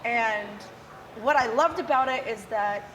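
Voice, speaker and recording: A woman from South Dakota with a gravelly, raspy voice, chatting casually and laughing now and then as an interview guest. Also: fairly high pitch, measured pace, noisy background. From South Dakota, USA. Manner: chatty and self-deprecating, laughs often. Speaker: musician guest. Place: outdoors at a windy motorcycle expo.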